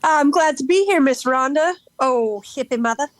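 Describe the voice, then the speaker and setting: A woman acts out a cheerful, affectionate hippie in an audio play. The voice is high and dry, gushing with love and peace. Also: normal speed, no echo, close to an everyday voice. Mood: warm, groovy. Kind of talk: amateur audio play acting.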